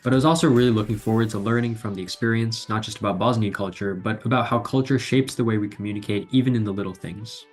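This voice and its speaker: A young man from New Jersey, a university student, giving a quick, articulate reflection on a class project. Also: fairly low pitch, soft background music. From New Jersey, United States. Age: young adult. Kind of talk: reflective video monologue.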